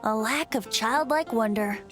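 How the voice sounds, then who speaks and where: A woman's voice: on the high side, measured pace, lightly acted. A woman voicing a playful, whimsical youthful bard with ornate phrasing in an anime-style video game. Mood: musing, playful. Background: music.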